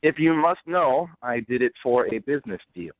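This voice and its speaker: A man's voice: medium pitch, expressive, normal speed. A man speaking bluntly and smugly over the phone as a guest in an unscripted radio call, unapologetic in his answers. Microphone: telephone. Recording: band-limited phone line.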